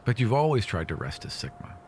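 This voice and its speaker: A man with a somewhat low voice acts as game master. He improvises tabletop narration in a casual, halting way, often repeating words. Faint noise sits behind the voice. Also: sing-song, a little mellow.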